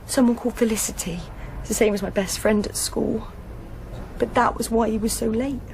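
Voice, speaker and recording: A plain-spoken young woman played by an actress in a radio drama, a little anxious as she gives her account. Her voice is fairly high, with faint noise behind it. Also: steady tone, a little fast, darkish tone, lightly acted. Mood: subdued recounting.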